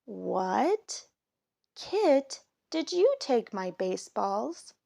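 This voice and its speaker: A woman's voice: fairly high pitch, sing-song, extremely slow, creaky, dark tone, no echo, studio quality, heavily acted. A woman voicing a hearty, enthusiastic father in a children's storybook, with emphatic exclamations. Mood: accusing. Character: storybook dad, hearty, enthusiastic about baseball.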